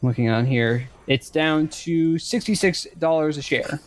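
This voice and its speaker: A man in his thirties co-hosting a casual podcast chat, speaking haltingly and often repeating words as he works out a thought. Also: on the high side. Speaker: podcast co-host. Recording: clicks and steady background noise.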